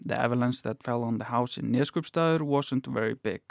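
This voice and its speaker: Icelandic guy, gravelly mid-pitched voice, reads simple news then translates it, dry studio sound. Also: brisk, dry recording. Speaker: news writer and language-teaching guest. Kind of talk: slow simple news reading with translation and casual chat.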